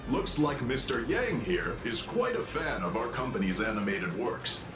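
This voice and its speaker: A man's voice: medium pitch, very animated, normal speed, natural voice. Man voicing a ceremonious corporate guide, echoey. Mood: courteous. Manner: formal, showy, deferential. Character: polished, genial, ceremonious corporate male guide. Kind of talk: anime-style video game voice acting. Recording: echoey, loud music behind.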